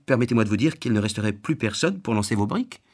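Man playing a sly, soft-spoken hungry vagabond. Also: fairly low pitch, expressive, quick pace. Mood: sly politeness. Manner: soft, polite, pleading. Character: hungry, wily, falsely humble male vagabond.

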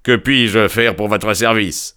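A man voicing an older country gentleman in an audiobook, bluff and emphatic with an uneasy heartiness. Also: lowish voice, slow pace. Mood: bluff surprise.

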